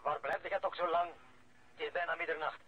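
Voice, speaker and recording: A man's voice: a little high, steady tone, fairly quick, lightly acted. A man with a Flemish accent, acting an impatient, fretful old father in a radio drama. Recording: dull, noisy old radio recording.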